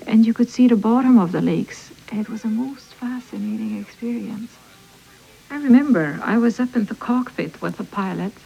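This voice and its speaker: Husky, creaky-voiced woman recalling a journey. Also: mid-range voice, dark tone, lo-fi sound. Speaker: documentary interviewee. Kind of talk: reflective personal recollection. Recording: archival radio documentary played from tape.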